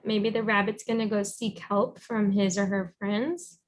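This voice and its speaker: A woman reading a storybook aloud as a teacher, encouraging and pausing to guide with questions. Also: mid-range voice, level tone. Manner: encouraging, instructive.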